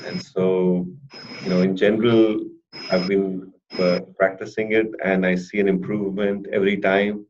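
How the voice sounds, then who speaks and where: A man giving a testimonial as a therapy client, speaking deliberately, with a mild stutter of repeated words and brief blocks. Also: low-pitched, little pitch movement, a little mellow. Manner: measured, deliberate delivery. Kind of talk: interview answers. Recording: dull lo-fi recording with faint hiss.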